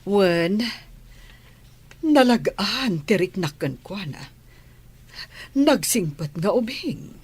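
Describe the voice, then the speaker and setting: A woman's voice: mid-range voice, animated, slow pace, pauses often, a little mellow, husky, exaggerated voice. A woman acting a kindly, troubled lady of the house, weary and sighing in a radio drama.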